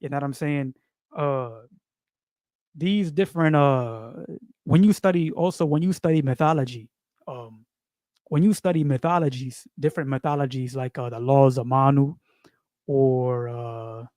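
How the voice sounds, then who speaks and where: A man's voice: medium pitch, moderate pace, a little mellow, no room sound. Rambling New man, dry close sound. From New York. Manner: rambling, repeats phrases, frequent fillers and slang. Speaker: video creator. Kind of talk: casual spiritual monologue.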